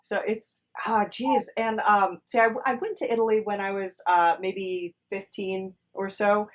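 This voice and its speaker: A woman hosting a podcast in casual, humorous conversation, speaking in a wry, halting way. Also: mid-range voice, steady tone. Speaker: podcast host.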